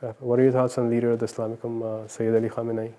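A man's voice: mid-range voice, little pitch movement, racing, warm tone. A man hosting a casual studio interview, asking questions in conversational language. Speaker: interviewer, host. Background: plucked guitar music.

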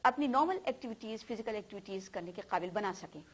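A woman doctor, a guest in an interview, explaining earnestly and pausing now and then. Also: medium pitch, expressive, slightly rough. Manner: earnest, explanatory, some hesitation.

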